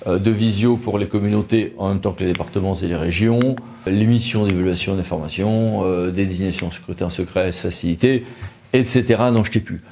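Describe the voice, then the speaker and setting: A man's voice: low-pitched, brisk, warm tone, slight background noise. A man hosting a legal news programme, going through points in long hesitant lists and putting questions to guests. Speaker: host and interviewer. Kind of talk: legal news briefing and interview.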